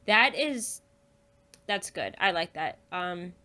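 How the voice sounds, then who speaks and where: A woman's voice: lowish voice, animated, slow, bright, forceful tone. A woman chatting casually and informally as a podcast guest, often saying "like". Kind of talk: casual chat.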